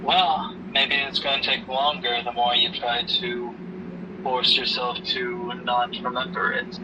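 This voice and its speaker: A man playing a grieving widowed father who gently counsels his daughter in a halting, measured way in an amateur audio drama. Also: level tone, lightly acted. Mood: gently advising. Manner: halting, subdued, measured delivery. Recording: noisy rumbling background, distant mic.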